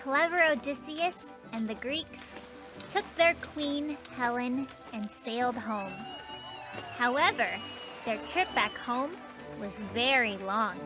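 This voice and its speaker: A woman voicing a patient, knowing young girl who tells stories in an explanatory way in an animated children's series. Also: fairly high pitch, slow. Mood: storytelling. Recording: music behind the voice.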